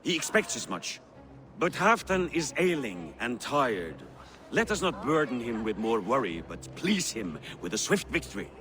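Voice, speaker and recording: A man's voice: mid-range voice, big pitch swings, moderate pace, fairly bright tone. A man playing a proud, hot-tempered Viking war schemer in a video game, formal and imperious. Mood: smooth persuasion. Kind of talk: video game dramatic acting.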